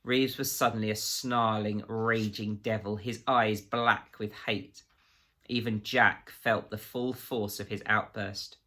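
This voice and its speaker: A man's voice: on the low side, level tone, slow, pushed and bright. A man reading a children's novel aloud as a dramatic narrator. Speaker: teacher reading aloud to pupils.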